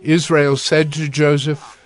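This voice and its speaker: A man narrating a dramatized scripture reading in a steady, even storytelling manner. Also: on the high side, slow pace, a little hiss or hum.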